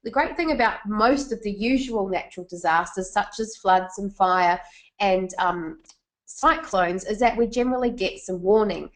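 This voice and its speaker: A woman's voice: mid-range voice, normal speed. A woman from New Zealand with a Kiwi accent, presenting a business webinar in a chatty way and putting questions to her audience.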